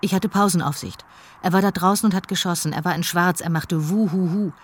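A woman's voice: on the low side, fairly quick, close to an everyday voice. A woman voicing a teacher's eyewitness account as a German documentary voice-over. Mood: shaken recollection. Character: female teacher recounting a school shooting. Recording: faint background noise.

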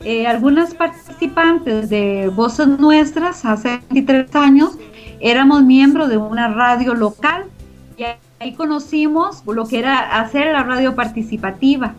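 A woman's voice: high voice, a little slow, lo-fi sound, soft background music. A middle-aged woman, giving reflective, explanatory answers as an interview guest. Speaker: interview guest, organization founder.

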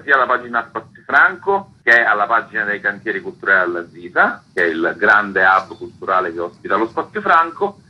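A man's voice: medium pitch, breathy edge, dull audio. A man giving earnest, articulate, explanatory answers as a guest in a radio interview. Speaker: artistic director of a theatre festival, interview guest.

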